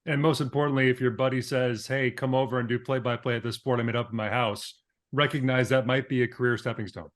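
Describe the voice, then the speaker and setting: A man's voice: mid-range voice, steady tone, quick pace. A man co-hosting a podcast and interviewing a guest in a casual conversational style.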